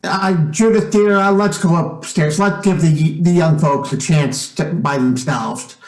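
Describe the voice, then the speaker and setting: The recording echoes, with some music behind. It is a man performing a gentle middle-aged father in an old-time radio play, speaking haltingly. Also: a little high. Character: mild middle-aged father of a returned son. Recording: roomy echo, music behind.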